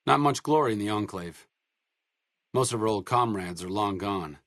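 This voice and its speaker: An actor playing an idealistic, self-deprecating young wasteland doctor in a video game, speaking in an articulate, conversational way with dry wit. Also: male voice, fairly low pitch, lively intonation, moderate pace, fairly bright tone, no echo. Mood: wistful, reflective. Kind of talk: video game dialogue acting.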